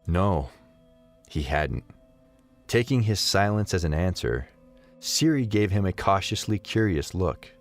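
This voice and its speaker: A man narrating a scripted story in an expressive storytelling manner. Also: sits low, a little slow, long pauses, faint music behind.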